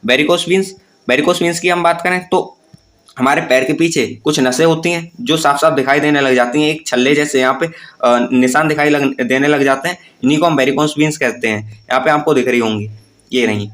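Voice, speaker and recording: Higher-voiced man explaining. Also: moderate pace, fairly bright tone. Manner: plain step-by-step explaining with English terms mixed in. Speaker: presenter of exam-preparation tutorials. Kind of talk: informal explanatory lesson to viewers.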